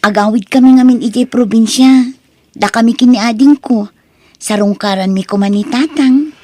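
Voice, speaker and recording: A woman's voice: a little high, normal speed, mellow, dry recording, close to an everyday voice. A woman playing an earnest, respectful young student daughter in an audio family drama, speaking politely. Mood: polite, eager. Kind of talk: Ilocano radio-style drama acting.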